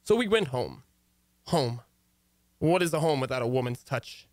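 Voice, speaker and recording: An actor playing an easygoing, wisecracking husband with a wry, hesitant manner in an old-time radio comedy. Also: male voice, mid-range voice, expressive, moderate pace, a little mellow, no echo, clean studio sound, lightly acted. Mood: wry, rueful. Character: easygoing, wisecracking husband narrating his marital troubles.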